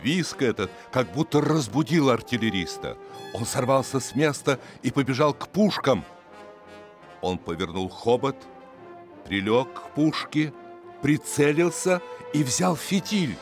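A man reads a story as an audiobook narrator, in an expressive, suspenseful storytelling manner. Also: mid-range voice, a little slow. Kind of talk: dramatic scripted story narration. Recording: music behind the voice. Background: music.

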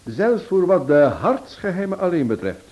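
A suave amateur sleuth in a radio play. His voice sits fairly high, polished and teasing, with a playful condescension. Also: big pitch swings, normal speed, darkish tone. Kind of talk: Dutch-language radio drama acting.

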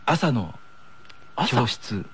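A man's voice: mid-range voice, sing-song, extremely slow, a bit bright, slight background noise. A man appearing as a radio show guest, chatting casually during a game.

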